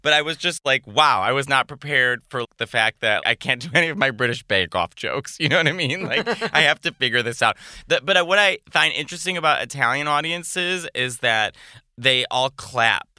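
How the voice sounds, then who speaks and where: A man who works as a comedian, a guest in a casual interview, telling self-deprecating stories in an animated way. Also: mid-range voice, moderate pace, no room sound, clean studio sound.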